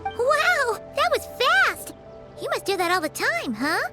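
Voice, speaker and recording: A woman voicing a small, excitable, childlike female fairy companion in a video game, squeaky, bubbly and eager. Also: unhurried, heavily acted. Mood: cheerful, impressed. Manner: bubbly, exclamatory, refers to self by name. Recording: music behind the voice. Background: game music.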